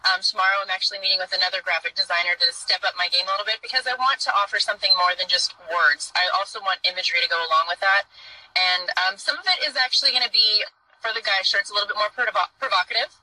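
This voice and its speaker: A woman's voice: mid-range voice, quick pace, sharp tone, no echo, distant mic, poor audio. A woman in her thirties, a guest on a live show, chatting openly and swearing freely. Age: 30s. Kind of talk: casual chat. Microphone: phone in selfie mode.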